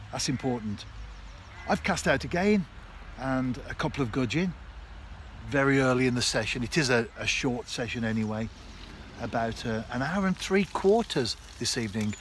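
Guy in his 50s explaining fishing outside, short measured phrases, bit of background noise, normal pitched voice. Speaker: angler and vlogger. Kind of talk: casual instructional fishing vlog. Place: outdoors on a canal bank. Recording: clear outdoor background noise.

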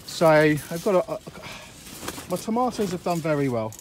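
Man, fairly high voice, casual allotment tour on a phone, outdoors, faint background noise. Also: unhurried, low-quality recording. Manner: relaxed and rambling, with frequent uhs. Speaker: allotment gardener giving a tour. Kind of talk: casual walkthrough narration. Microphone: handheld phone camera, filming while walking.

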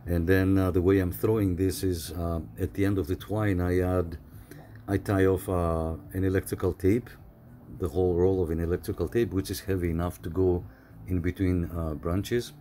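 A man with a very low, mellow voice narrates his own radio hobby video. He explains step by step in a relaxed, casual way, often pausing on "uh". Faint noise sits under his voice. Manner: relaxed, explanatory, frequent "uh" fillers.

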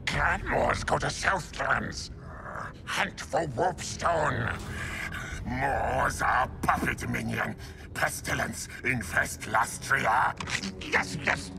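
A man's voice: medium pitch, drawn-out words, creaky, background noise. An actor playing a scheming, imperious ratman in a game cutscene, snarling in clipped, broken grammar. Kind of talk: villainous creature acting in a game cutscene. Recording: music under the voice. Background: music.

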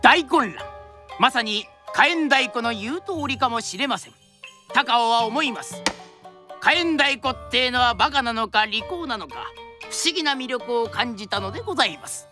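A woman's voice: fairly high pitch, lively intonation, moderate pace, natural voice. A woman playing a theatrical traditional storyteller, narrating a drama in a formal, declamatory way. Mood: wry. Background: music.

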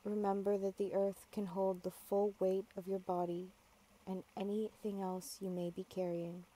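A woman guiding a yoga nidra meditation, giving calm, measured, gentle instructions with long pauses. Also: medium pitch, low-quality recording. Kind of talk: guided meditation, slow soothing instruction.

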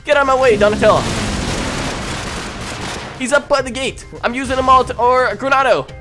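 A young man with a very high voice plays through a game in character, improvising as he goes. He barks urgent orders and callouts to his teammates in an excited gamer style. Loud music plays behind him. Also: brisk. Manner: urgent, shouts commands to teammates. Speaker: gaming video host playing a roleplay game. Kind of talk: excited improvised gameplay roleplay commentary.

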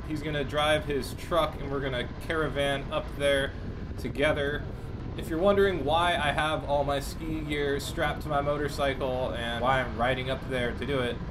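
A man's voice: on the high side, noisy background. A man giving a scripted vlog voice-over as the presenter. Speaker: vlogger.

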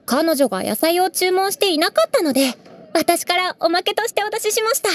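A woman's voice: extremely high pitch, lively intonation, a little fast. A woman playing a polite, unflappable young waitress in an anime game, with a sweet, courteous service tone. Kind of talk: Japanese anime game voice acting.

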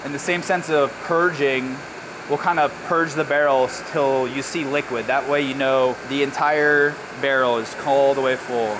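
A man in his thirties working as a brewer, explaining barrel filling in a relaxed, casual way on a brewery floor. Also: medium pitch, a little slow. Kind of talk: hands-on explanation. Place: large brewery floor with steel tanks, indoors. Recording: clear machinery and air-con noise.

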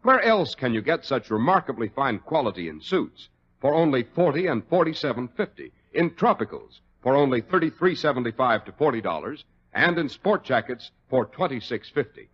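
A man announcing in scripted 1940s radio style, as in a sponsor's sales pitch. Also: very animated, normal speed, slightly rough. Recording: muffled 1940s radio recording.

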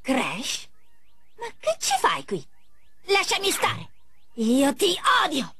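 A sarcastic, spiteful schoolgirl villain from a cartoon game. She drawls slowly with lively, dramatic swings in a high voice. Noise is clearly audible behind her. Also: full character voice. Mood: furious. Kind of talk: Italian cartoon dub for a video game.

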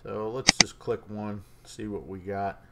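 A man's voice: lowish voice, steady tone, a little slow, very noisy. A man giving a casual, unscripted demonstration as a radio hobbyist.

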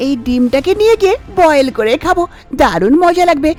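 Lady playing sneaky greedy young village wife, high voice, super animated, cartoon style. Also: fairly quick, soft background music. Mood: excited. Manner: scheming, muttering to herself. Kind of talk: Bengali cartoon fairy-tale voice acting.

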